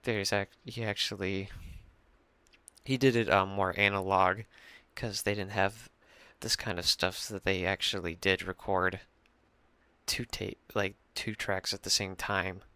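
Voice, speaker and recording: A young man with a low voice, rambling through a casual mixing tutorial at his computer, full of fillers and restarts. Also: steady tone, unhurried, sharp tone, clean tone, no echo. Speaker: music producer explaining a mix. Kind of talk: casual screen-share tutorial. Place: small room at a computer.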